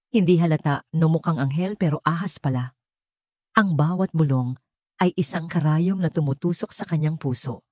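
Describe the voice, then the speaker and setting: A woman reading a scripted romantic drama story as narrator, with measured, melodramatic delivery. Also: sits low, warm tone, dry recording, studio quality.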